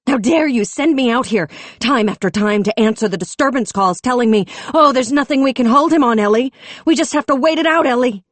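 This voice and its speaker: A fierce young policewoman, off duty and raw with anger, her voice climbing high and loud as she rails and shouts, voiced by a woman narrating an audiobook. The reading is close and dry, with no room sound. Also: expressive, moderate pace, dry recording, natural voice. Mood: furious shouting. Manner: shouting, furious, tearful.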